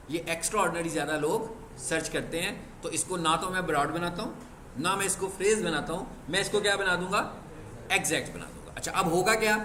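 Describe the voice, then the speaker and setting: Male classroom teacher, high-pitched, rhetorical question-and-answer delivery, strict; echoey room. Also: bright, forceful tone. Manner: explains through rhetorical questions and answers them himself; stern with students about discipline. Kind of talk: classroom lecture. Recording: echoey classroom with faint background noise.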